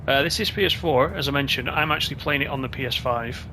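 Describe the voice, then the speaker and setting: A man's voice: medium pitch, fast talker, background noise. A man giving casual gameplay commentary as a video host, chatty and thinking aloud.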